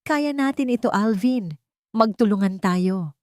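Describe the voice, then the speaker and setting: A woman's voice: medium pitch, lively intonation, a little slow, darkish tone, no echo, studio quality, pitched above the performer's own voice, natural voice. A woman narrator voicing a scheming, desperate mother in a dramatic audio story, speaking with urgent insistence. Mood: hopeful.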